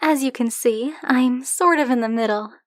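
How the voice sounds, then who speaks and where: A woman's voice: high voice, moderate pace, dry recording, studio quality, natural voice. A woman playing a possessive, affectionate dragon-blooded librarian in an ASMR roleplay, speaking coyly and candidly to the listener in a teasing, conversational way. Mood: chatty, self-assured. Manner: coy, teasing, conversational, fond pet names.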